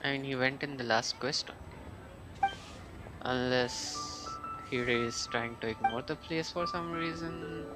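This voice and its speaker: Guy streaming a game, chatting casually and kinda halting, medium pitch, music really loud behind him. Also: fairly even intonation, rough recording. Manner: halting, thinking aloud, reading dialogue and reacting. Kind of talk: casual live gameplay commentary.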